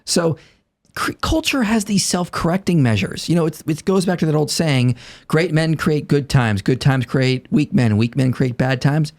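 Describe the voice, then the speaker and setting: A man's voice: on the high side, animated, normal speed, a little mellow. A man in his thirties, a podcast guest, explaining his views in an earnest, conversational way with frequent chuckles. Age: 30s. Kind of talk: casual conversational chat.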